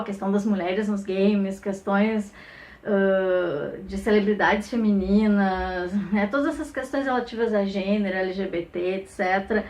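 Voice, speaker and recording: A woman with a Brazilian Portuguese accent speaks at a middle pitch. She sounds like an academic reflecting aloud without a script, conversational in delivery, with frequent short tag questions at the ends of phrases. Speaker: academic researcher and professor. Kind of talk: informal recorded testimonial, unscripted reflective talk.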